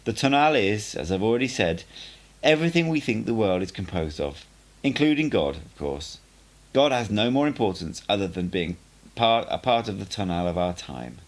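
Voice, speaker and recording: A playful old sorcerer, put on by a man reading aloud, lectures his student with patient relish. His voice is low and gravelly, and it swings up and down with animated emphasis. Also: normal speed. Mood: explaining. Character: old male sorcerer teacher, playful, rhetorical. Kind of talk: audiobook character dialogue.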